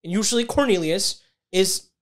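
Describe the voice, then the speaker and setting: A man co-hosting a podcast, telling a story in casual banter with a big voice, animated and profane, quick to snap back at the others. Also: high voice. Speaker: podcast co-host.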